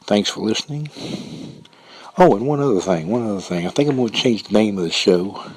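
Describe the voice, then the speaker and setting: A man's voice: lowish voice, expressive, brisk, a little mellow, husky, no room sound, low-quality recording. A man hosting a radio show, chatting informally and a little hesitantly, repeating himself. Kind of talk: casual unscripted sign-off chat.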